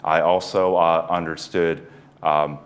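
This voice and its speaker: Deep-voiced measured male surgeon. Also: level tone. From South Korea. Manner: measured, thoughtful, with restarts and soft laughs. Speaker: neurosurgeon guest. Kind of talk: interview answers.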